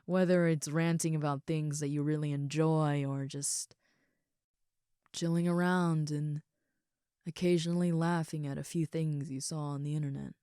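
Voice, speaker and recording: Affectionate young female ogre roommate played by a woman for comfort roleplay audio: very low-pitched, relaxed, soft and sincere, close dry sound. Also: normal speed, clean tone, no room sound, natural voice. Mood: tender, sincere. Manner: soft, sincere, rambling, reassuring.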